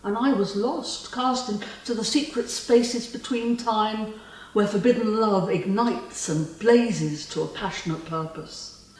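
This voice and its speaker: A woman's voice: medium pitch, a little mellow, lightly acted. A woman playing a spurned immortal fae, possessive and vengeful, in a theatrical, lyrical stage monologue. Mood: wistful, enchanted. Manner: theatrical, lyrical, deliberate delivery. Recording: distant mic in a very echoey room.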